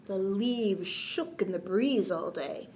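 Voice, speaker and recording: Slow, hushed woman reading bedtime stories. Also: mid-range voice. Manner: gentle, expressive, often dropping to a hushed near-whisper. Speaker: storytime host reading a picture book. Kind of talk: children's bedtime story read-aloud with casual asides.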